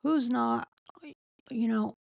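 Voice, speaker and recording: A woman with a sore throat and a failing voice, reading a legal filing aloud in a slow, halting way and often stopping to correct herself. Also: on the high side, gravelly, no room sound, studio quality. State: losing her voice, sore throat. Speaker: streamer reading a court filing. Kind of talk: casual late-night live stream.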